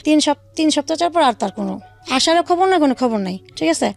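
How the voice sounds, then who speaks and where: A woman's voice: sits high, animated, fast, vocal fry, darkish tone, faint music behind. A woman with a Bangladeshi accent telling her own life story as an interview guest, in colloquial speech with quoted dialogue.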